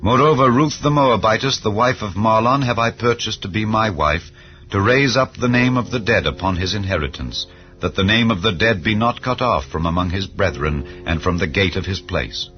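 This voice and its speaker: Narrator: very deep man, solemn, measured scripture reading, slightly dull audio, soft music under. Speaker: Bible reader. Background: soft background music.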